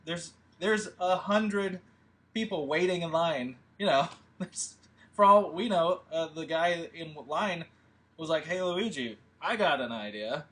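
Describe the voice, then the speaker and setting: Higher-pitched man, rambling casually. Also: animated, a bit bright. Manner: rambling, halting, thinking aloud. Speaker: host and filmmaker. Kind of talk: casual livestream discussion.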